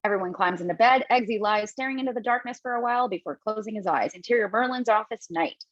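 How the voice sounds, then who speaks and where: A woman's voice: medium pitch, fast, no echo, dull audio. Woman reading stage directions. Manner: reads directions plainly, with casual asides. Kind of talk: table read narration.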